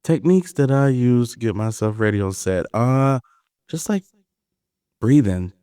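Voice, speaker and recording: Male guest, lively delivery, dry close sound. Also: medium pitch, measured pace, clean tone, no echo. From Chicago, Illinois. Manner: animated, laughs often, acts out quotes. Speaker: actor guest. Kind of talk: casual interview chat.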